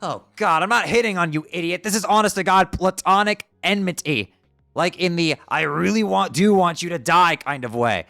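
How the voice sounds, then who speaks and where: A man voicing an abrasive teenage troll boy who yells insults and swears constantly. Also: mid-range voice, expressive, normal speed, dry recording, studio quality, soft background music. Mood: angry shouting. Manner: yelling, profane, insulting. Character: furious shouting teen troll boy, abrasive. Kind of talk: fan dub of a chat comic.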